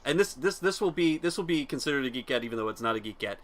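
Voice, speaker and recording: A man's voice: medium pitch, animated, fast. A man co-hosting a podcast in casual chat, hesitant and often repeating words.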